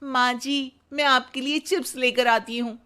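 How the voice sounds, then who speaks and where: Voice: high-pitched, fairly even intonation, a little slow, sharp tone, smooth, pitched above the performer's own voice. कलाकार की आवाज़ में विनम्र युवा बहू, ऊँचा सुर. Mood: obliging. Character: meek, dutiful young daughter-in-law. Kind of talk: comic moral story dramatization.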